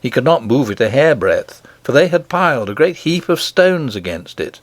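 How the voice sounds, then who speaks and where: Very animated male storybook narrator. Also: medium pitch, normal speed, no echo. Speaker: audiobook narrator. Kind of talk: scripted children's storybook narration.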